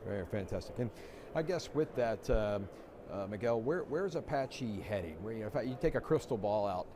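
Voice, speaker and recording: A man's voice: fairly low pitch, lively intonation, a little fast, background noise. A man hosting an interview on a conference stage, asking questions in an upbeat, friendly way. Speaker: magazine interviewer and host.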